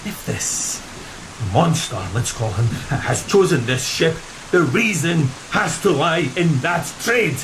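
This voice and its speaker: An agitated first mate, wildly swinging voice. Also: male voice, a little high, expressive, takes it slowly, poor audio. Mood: insistent, reasoning. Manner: earnest, formal, stammering when agitated. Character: agitated, rationalist ship's first mate, formal and intense. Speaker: actor in an audio drama. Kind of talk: gothic horror radio drama acting. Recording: loud rain and storm noise behind.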